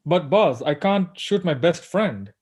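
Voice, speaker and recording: A man playing an uneasy thirty-something agent in a scripted table read, expressive and awkwardly polite. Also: fairly high pitch, measured pace, breathy edge. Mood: pleading. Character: uneasy thirty-something male agent, torn between duty and friend.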